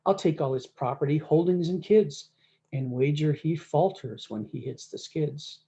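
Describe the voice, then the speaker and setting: A sly, scornful cowboy devil read by a woman from a storybook, needling in folksy Western talk. The tone is mellow and dark, on a slightly muffled recording. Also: sits low, unhurried. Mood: scornful, challenging.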